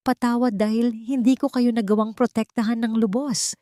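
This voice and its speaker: A woman's voice: on the high side, steady tone, moderate pace, warm tone, dry recording, voice pushed up, close to an everyday voice. Woman playing an anguished protective mother, dry sound. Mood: remorseful.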